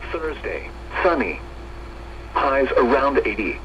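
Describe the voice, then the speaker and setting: A man's voice: mid-range voice, very animated, slow pace, fairly bright tone, highs cut off, slight background noise. An American man reading a scripted forecast in clipped, formulaic phrases, heard through a weather radio speaker.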